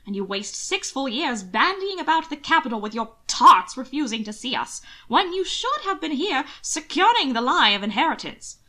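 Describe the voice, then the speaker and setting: A woman voicing a complaining, elderly aristocratic aunt in an audiobook story, loud and drawn out. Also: sits high, very animated, moderate pace, hardly any pauses, pitched above the performer's own voice, exaggerated voice. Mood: complaining, indignant.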